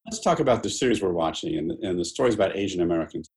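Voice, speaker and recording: A man conducting an interview, asking calm, prompting questions. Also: sits low, very fast.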